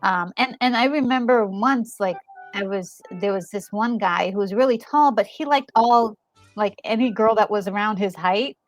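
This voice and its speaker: A woman's voice: mid-range voice, moderate pace, faint music behind. A woman chatting casually as a co-host, thinking aloud and rephrasing as she goes. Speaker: co-host commenting on a game. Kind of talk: casual chat.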